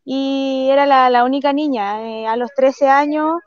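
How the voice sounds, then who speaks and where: A Chilean woman with a high voice talks casually as an interview guest. The sound is dry and a little dull, like a video call. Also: steady tone, a little slow, no echo. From San Antonio, Chile. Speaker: guest footballer.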